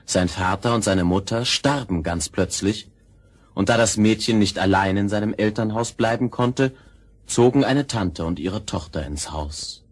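A man narrating a scripted fairy tale in a husky voice, measured and expressive. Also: lowish voice.

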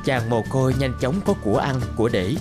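A man's voice: medium pitch, fairly quick, natural voice. A man narrating a scripted fairy tale for a cartoon. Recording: music behind the voice.

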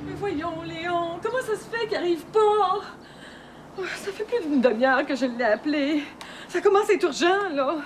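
A woman's voice: very high-pitched, normal speed, noisy background. A flustered mother in labour. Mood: anxious. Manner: panting, moaning, calming herself.

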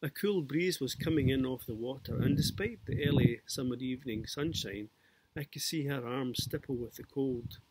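A middle-aged man with a Scottish accent and a husky voice, speaking as an author presenting his writing. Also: medium pitch, normal speed, darkish tone, slight background noise, rough recording. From Scotland. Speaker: author introducing and reading own novel. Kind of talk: informal introduction and narrative reading.

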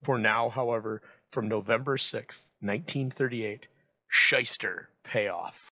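A man presenting in scripted voice-over narration, carefully worded and measured. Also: fairly low pitch, steady tone, sharp tone, dry recording, rough recording.

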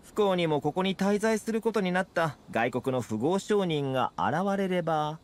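A man voicing an easygoing, confident young fixer in a Japanese video game, talking smoothly and persuasively. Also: a little high, animated, moderate pace, natural voice. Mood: easygoing, friendly. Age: young adult.

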